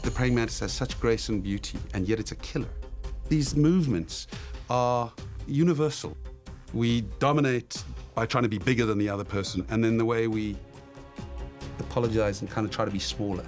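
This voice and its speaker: A man's voice: mid-range voice, moderate pace. A middle-aged man talking as a film director in a behind-the-scenes interview. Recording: faint music behind the voice.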